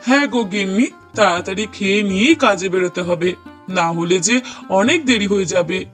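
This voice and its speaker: A man's voice: high voice, normal speed, lightly acted. A man playing an affectionate young husband in a cartoon, speaking earnestly and tenderly with emphatic repetition. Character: affectionate young husband, delighted father-to-be, gentle and doting. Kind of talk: cartoon dialogue acting. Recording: voice over prominent music bed. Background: music.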